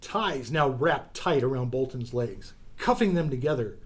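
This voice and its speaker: A man's voice: medium pitch, animated, measured pace. A man narrating a radio adventure serial in a theatrical, suspenseful storytelling style.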